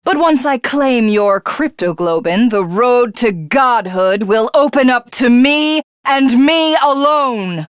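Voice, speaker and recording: A haughty, slow-speaking tyrant queen. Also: on the high side, lively intonation, clean tone, dry recording, studio quality. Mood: triumphant, grandiose. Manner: haughty, theatrical, contemptuous. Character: megalomaniacal female alien tyrant craving godhood. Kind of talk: video game villain voice acting.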